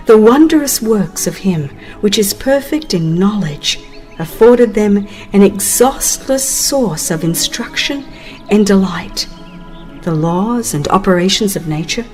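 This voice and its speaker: A woman reading a religious text as a dramatized audiobook, in a measured, reverent and expressive way, drawing out the ends of phrases. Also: medium pitch. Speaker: audiobook narrator.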